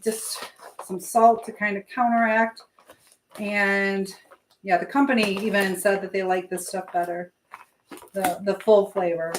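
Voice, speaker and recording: A woman's voice: medium pitch, slight background noise, low-quality recording. Woman chatting casually on a livestream. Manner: chatty, meandering, greets viewers by name. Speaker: livestream host. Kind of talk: casual live-stream chat while cooking, reading out comments.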